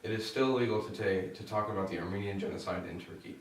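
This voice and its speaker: Young male student reporter, fairly low voice, scripted read in an echoey space. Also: fast. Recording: echoey room, distant mic.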